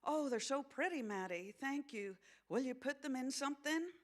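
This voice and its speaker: A woman's voice: sits high, lively intonation, normal speed, voice pushed up. A woman voicing a tired, anxious teenage farm wife and mother in a dramatised novel reading, sounding apologetic. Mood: grateful.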